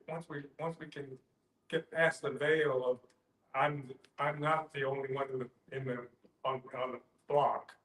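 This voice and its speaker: An older man in an informal group discussion, halting and circling back as he searches for words. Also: medium pitch, fairly bright tone. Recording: echoey room.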